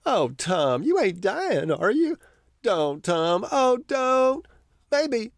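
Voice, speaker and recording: Anxious boy, pleading, wildly swinging pitch, slow, dry sound; male narrator voicing him. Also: very animated, no room sound, full character voice. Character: worried younger brother, boy.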